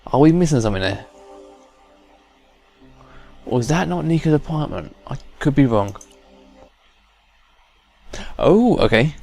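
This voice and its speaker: A man's voice: fairly high pitch, sing-song, a little slow, vocal fry, pauses often, darkish tone. Casual man thinking aloud over game music. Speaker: let's play host. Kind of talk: casual unscripted game commentary.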